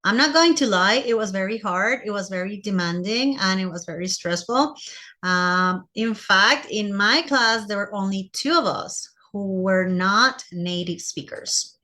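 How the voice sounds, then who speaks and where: A woman's voice: medium pitch, expressive, a little slow, bright, forceful tone. A woman from Costa Rica with a Costa Rican Spanish accent in English, speaking as a teacher giving a personal presentation to fellow teachers.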